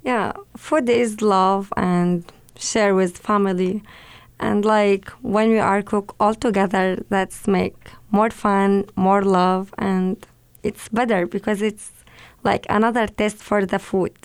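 Syrian woman speaking halting English. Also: medium pitch, fairly even intonation, clear, resonant tone, no echo. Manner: simple non-native English, hesitant. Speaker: assistant kitchen manager. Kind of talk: podcast interview guest.

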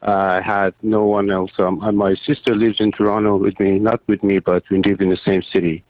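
A man who grew up in Iran, a surgeon and poet, answering interview questions haltingly with many fillers and restarts. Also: lowish voice, flat delivery, no room sound. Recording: tinny phone line.

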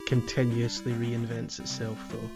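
A man co-hosting a casual podcast discussion, speaking with hesitant, thoughtful pauses. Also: mid-range voice, completely flat delivery. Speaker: podcast co-host. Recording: slightly dull, lo-fi mic, music behind.

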